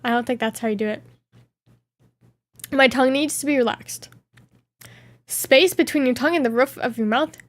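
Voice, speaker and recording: A woman's voice: sits high, normal speed, long pauses, dry recording. A woman streamer chatting casually, reading viewer questions aloud and reacting playfully with frequent giggles. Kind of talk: casual live Q&A chat.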